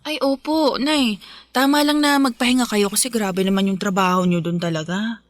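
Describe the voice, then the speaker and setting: A woman's voice: mid-range voice, moderate pace, no echo, studio quality, close to an everyday voice. A woman playing a respectful, affectionate young working daughter in a Filipino radio drama, speaking expressively. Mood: worried.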